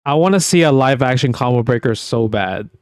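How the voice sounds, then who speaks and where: Smooth-voiced man, slangy and enthusiastic. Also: mid-range voice, lively intonation, a little fast, dry recording, clean studio sound. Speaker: podcast co-host. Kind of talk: casual chat.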